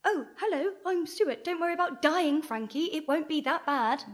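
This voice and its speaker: A woman's voice: high voice, expressive, normal speed. A woman playing a plucky, defiant adventure heroine in a radio play, with a dry sarcastic way of talking. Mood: mocking.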